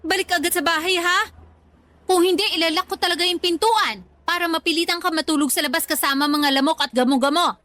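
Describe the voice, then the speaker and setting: A woman's voice: extremely high pitch, fast talker, sharp tone, a little hiss or hum. A woman playing a scolding, worried mother in a Tagalog radio drama, with a nagging, exasperated way of talking. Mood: stern. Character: scolding, worried mother of a hard-drinking young son.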